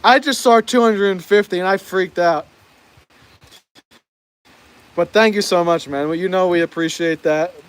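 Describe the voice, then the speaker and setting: A fairly high-voiced American man chats loosely on an outdoor live stream, tripping over his words and laughing now and then. Rain patters steadily in the background. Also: normal speed, pauses often, clear, resonant tone. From United States. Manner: chatty, laughs often, stumbles over words. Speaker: vlogger reading live chat. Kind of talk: casual live stream chat. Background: rain falling.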